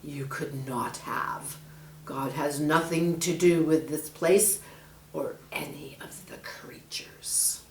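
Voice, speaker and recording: A woman voicing a sly, menacing male snake in a storybook, falsely caring, with a hushed, breathy hiss and drawn-out delivery. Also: low-pitched, steady tone, roomy sound, distant mic. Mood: dismissive. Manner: slow, drawn-out, sinister delivery.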